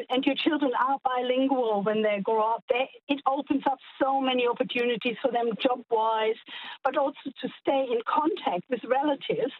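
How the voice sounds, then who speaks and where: High-voiced woman on a phone line. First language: German. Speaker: caller, academic. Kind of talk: phoned-in talkback call.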